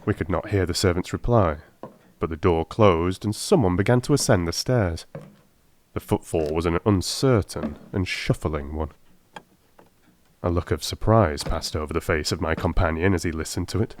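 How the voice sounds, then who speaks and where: A man narrating an audiobook in a dramatic, measured, literary storytelling manner. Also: deep voice, a little mellow, slight background noise.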